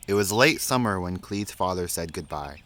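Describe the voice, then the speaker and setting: A man with a low voice reads a picture book for children. His delivery is measured and expressive, with pauses between phrases. Some background noise sits behind the reading. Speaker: story reader.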